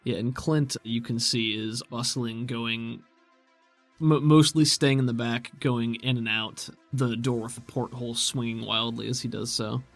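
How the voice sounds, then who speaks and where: A man narrating a tabletop roleplaying game as game master in a descriptive, measured storytelling manner. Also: mid-range voice, flat delivery, faint music behind.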